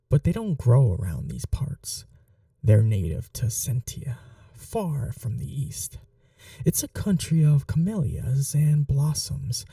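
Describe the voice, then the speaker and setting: A performer voicing a possessive vampire noble in an ASMR roleplay, speaking softly and slowly with a teasing, condescending cruelty. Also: medium pitch, no room sound, studio quality, distant mic, heavily acted. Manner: slow, soft, breathy, condescending teasing.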